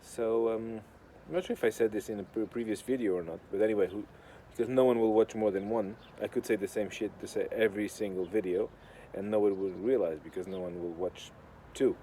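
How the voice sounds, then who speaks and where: A man from Portugal with a Portuguese accent rambles casually as a video host, with a deadpan, sardonic, sweary manner. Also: on the low side, a little fast, few pauses. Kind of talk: casual rambling talk to camera. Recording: clear outdoor urban construction noise. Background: noise of building works.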